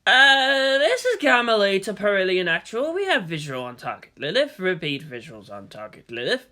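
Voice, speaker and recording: A woman's voice: mid-range voice, big pitch swings, normal speed, pushed and bright. A woman voicing a nervy, irreverent soldier leading a mech squad, mixing clipped military radio talk with casual swearing. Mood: uneasy.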